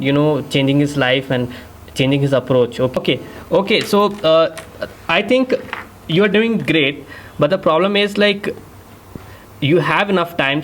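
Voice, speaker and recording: A man with an Indian accent, coaching on a casual call in mixed English and Hindi, repeating himself and checking for agreement. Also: on the high side. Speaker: dating coach, host.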